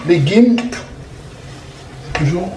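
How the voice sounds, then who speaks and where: A man's voice: high-pitched, extremely slow, background noise. A man from Congo, cooking at home and chatting loosely, leaning on "voilà" and chuckling as he explains. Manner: relaxed, repeats "voilà" a lot, chuckles while explaining. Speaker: home cook. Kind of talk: casual cooking video chat. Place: home kitchen. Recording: echoey kitchen with music playing.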